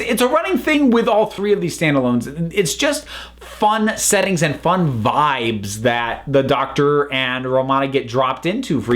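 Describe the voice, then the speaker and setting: A man's voice: a little high, fairly bright tone. A man giving a casual, unscripted review, chatty and rambling as the host.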